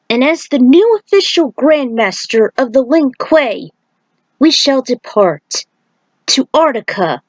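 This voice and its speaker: A woman's voice: mid-range voice, dry recording, low-quality recording. A woman narrating a scripted fantasy story.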